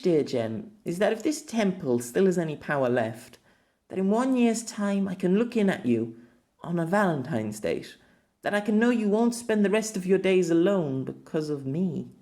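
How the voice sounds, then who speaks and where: A man's voice: sits high, darkish tone, full character voice. A man reading as a grieving empress's farewell.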